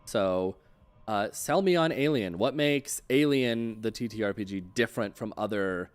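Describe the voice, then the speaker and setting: A man with a low, smooth and resonant voice hosts a relaxed chat show interview. He talks informally, like someone in casual conversation with a guest. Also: moderate pace. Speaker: show host interviewing a game designer.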